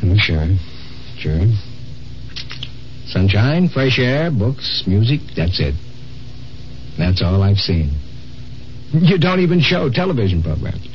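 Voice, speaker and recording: An American actor playing a brash, restless, wisecracking patient in a 1950s radio drama. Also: male voice, on the low side, very animated, slow, long pauses. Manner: wisecracking, impatient, slangy. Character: brash, restless, lonesome male patient from the past. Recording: muffled, noisy old radio recording.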